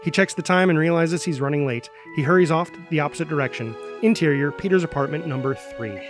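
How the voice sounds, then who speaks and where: A man's voice: fairly high pitch, fast, faint music behind. A man reading screenplay stage directions as a dramatic scripted narrator.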